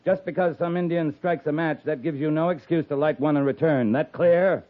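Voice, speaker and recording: Tinny old-radio actor as stern cavalry captain. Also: male voice, fairly high pitch, normal speed, lightly acted. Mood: stern, curt. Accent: American. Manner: curt, authoritative military address. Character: stern, protective frontier cavalry captain, grown man. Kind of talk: 1950s radio drama acting. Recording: muffled, band-limited 1950s radio recording.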